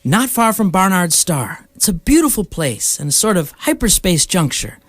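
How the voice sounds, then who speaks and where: A breezy alien space traveler, played by a man in a radio play. He sounds matter-of-fact with very animated pitch. The sound is dry. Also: normal speed, no room sound.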